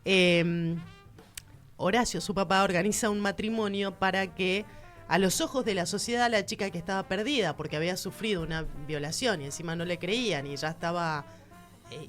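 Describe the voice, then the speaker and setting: A woman with a Rioplatense accent hosting a casual art-history segment, chatty and emphatic, speaking straight to the listener. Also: lowish voice. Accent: Rioplatense Spanish. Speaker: culture segment host.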